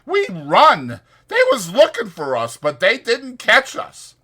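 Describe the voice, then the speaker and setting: A man's voice: sits high, huge pitch swings, slow pace, bright, forceful tone, voice pushed up, exaggerated voice. A man voicing a short-tempered, bossy working man who scolds in rustic, ungrammatical speech in a dramatized audiobook. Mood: disgusted, forceful.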